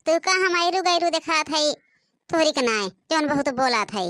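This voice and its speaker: A woman voicing a sharp-tongued, scolding village character in a comic cartoon, with an Awadhi accent. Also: high voice, animated, moderate pace, no echo. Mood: defiant. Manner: scolding, argumentative. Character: sharp-tongued, defiant village household members, old woman and boy.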